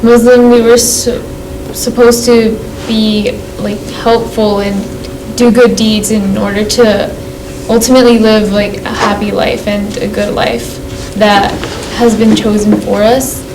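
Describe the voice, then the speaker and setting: A female student answering in class, hesitant and soft-spoken. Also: mid-range voice, little pitch movement, a little slow. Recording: distant mic, noisy classroom.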